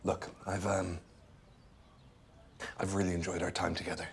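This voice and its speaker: An actor playing a self-absorbed, evasive boyfriend in a dark comedy film, speaking with hesitant, formal politeness in a naturalistic way. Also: male voice, low-pitched, level tone, moderate pace, gravelly, a little hiss or hum. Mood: politely detached.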